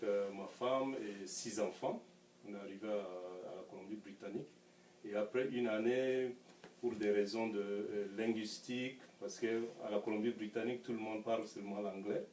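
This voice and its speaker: A middle-aged man with a francophone African accent speaks in a fairly low voice. He answers an interviewer in a measured way, pausing to find words. The sound is distant and echoey, with faint noise behind. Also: darkish tone. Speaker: interview guest. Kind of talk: recounting personal experience in an interview.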